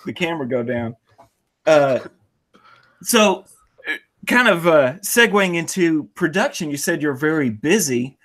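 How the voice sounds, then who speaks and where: A man's voice: a little high, animated, measured pace, long pauses, a bit bright. A man with a Southern US accent hosting an interview on a video call, folksy and jokey. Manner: folksy, jokey, laughs a lot. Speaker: interview host, video maker. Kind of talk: casual interview chat.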